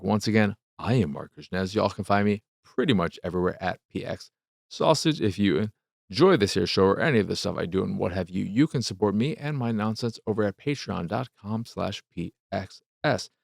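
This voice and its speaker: A man's voice: on the low side, lively intonation, normal speed, gravelly, no room sound, studio quality. A man hosting a game review show, talking off the cuff in a rambling, casual way, repeating phrases for emphasis and sometimes swearing.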